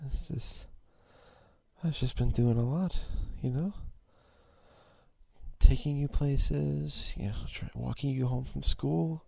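A man playing a quiet, obsessive, possessive schoolboy in an ASMR roleplay, halting and breathy. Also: mid-range voice, no room sound, exaggerated voice. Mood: nervous, defensive. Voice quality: husky, breathy. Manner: halting, stammering, brooding. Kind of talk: ASMR roleplay, intimate acted monologue.

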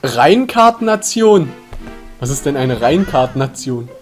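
A man's voice: medium pitch, sing-song, slow. A young man streaming a video game, joking and punning casually through a headset mic. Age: young adult. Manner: playful punning, laughs often, sudden shouts at the game. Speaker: let's play streamer. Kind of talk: casual gaming livestream chat. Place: small room indoors. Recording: game music behind.

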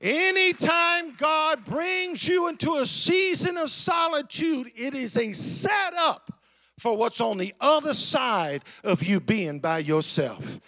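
A man preaching an impassioned sermon to a congregation in an emphatic, declamatory way, repeating phrases for effect, with a gravelly voice. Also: sits high, fairly bright tone, no room sound, clean studio sound.

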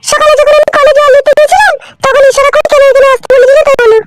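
A woman playing a sly, scolding young village wife in a comedy cartoon, with a shrill, wheedling manner. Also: steady tone, very noisy. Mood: coy. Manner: shrill, wheedling, quick to snap back.

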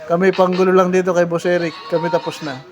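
A man's voice: sits high, little pitch movement, quick pace. A man with a Filipino accent hosting a casual workplace vlog, teasing his coworkers and asking them for comments. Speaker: vlogger host, worker on an installation crew. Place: project worksite. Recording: busy worksite with loud background chatter.

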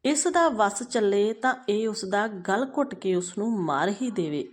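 A woman's voice: medium pitch, expressive, normal speed, natural voice. Woman playing an indignant, fed-up young mother. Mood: bitter, fed up. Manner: indignant, scolding with pointed rhetorical questions. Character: fed-up young sister-in-law, angry protective mother.

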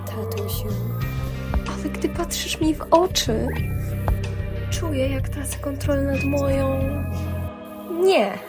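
A woman playing a melodramatic, lovestruck young anime superfan, theatrical and overwrought, in an animated comedy fan dub. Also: sits high, slow pace, pauses often. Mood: melodramatic, smitten. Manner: theatrical, breathlessly infatuated, overwrought. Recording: music behind the voice.

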